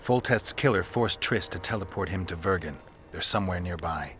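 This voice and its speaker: An actor playing a stoic male monster hunter in a video game, terse and deadpan with dry, laconic delivery. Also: deep voice, normal speed, slight background noise. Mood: blunt. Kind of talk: video game dialogue acting. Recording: music behind the voice.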